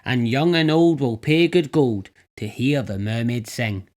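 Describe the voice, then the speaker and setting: A woman voicing a sly, boastful male circus owner in a storybook, with a deep, pompous showman's delivery. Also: animated, measured pace, a little mellow. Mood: wheedling, boastful. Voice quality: deep put-on voice.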